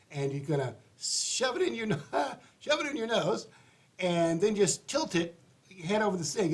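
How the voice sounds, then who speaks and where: A stuffy, congested man hosts his advice show, rambling along in a casual, chatty way. His voice sits a little on the high side for a man. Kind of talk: casual livestream monologue.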